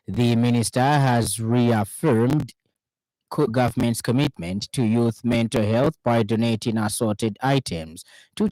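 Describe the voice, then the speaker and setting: A male news reader with a Zambian accent delivers a formal radio bulletin. His voice sits at a medium pitch, and he works through the script in measured phrases. The sound is dry, with very little room echo. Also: dark tone.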